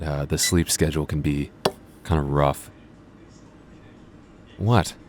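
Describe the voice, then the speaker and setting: Very deep-voiced laid-back vampire guy, acted by a man. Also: darkish tone, a little hiss or hum. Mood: casual, weary, then playfully indignant. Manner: hesitant, stammering, dryly teasing. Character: teasing, laid-back young male vampire convenience-store night-shift coworker. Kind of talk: ASMR roleplay, intimate casual dialogue.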